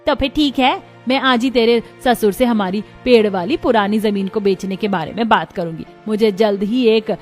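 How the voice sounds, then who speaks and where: A woman's voice: on the high side, normal speed, few pauses, darkish tone, soft background music, lightly acted. A woman playing a scolding, stubborn older mother-in-law in a cartoon story, bossy and nagging. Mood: stubborn, indignant.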